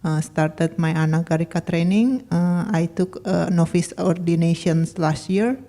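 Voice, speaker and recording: A novice Buddhist nun from Indonesia, introducing herself in a halting, shy way. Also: female voice, on the low side, fairly even intonation, warm tone. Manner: halting, shy, with many uhs.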